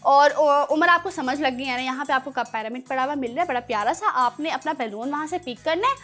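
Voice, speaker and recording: A woman with a Pakistani accent and a high voice hosts a children's program. She presents in a playful, encouraging way, addressing young children directly. Music plays behind her. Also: a little fast, fairly bright tone. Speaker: children's show host.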